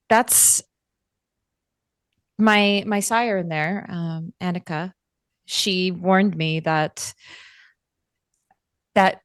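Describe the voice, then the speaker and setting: A woman plays a protective friend in a roleplay game, with a medium-pitched voice and an unhurried, halting delivery. She words things gently and carefully, in a dry, close recording. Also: dry recording, lightly acted. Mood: concerned, hesitant. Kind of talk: in-character tabletop roleplay.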